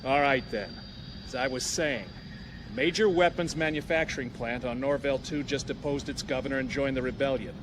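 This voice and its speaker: A man playing a cocky, gruff military pilot leader in a science-fiction audio drama, briefing in a brisk, wry, no-nonsense way. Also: mid-range voice, noisy background, lightly acted. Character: cocky, gruff roguish general drilling pilot trainees.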